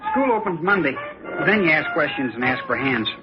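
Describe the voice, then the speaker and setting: An actor playing a cocky, menacing teenage gang leader in old radio drama, with slangy, taunting toughness. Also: male voice, fairly high pitch, lively intonation, brisk, close to an everyday voice. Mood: cool menace. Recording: muffled vintage radio broadcast with music.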